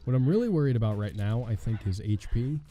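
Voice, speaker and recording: A young man with a hoarse voice commenting casually on his own gameplay, thinking aloud with a wry tone and muttering as he reads. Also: mid-range voice, lively intonation, fast, warm tone. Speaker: gaming channel host. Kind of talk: casual let's play commentary.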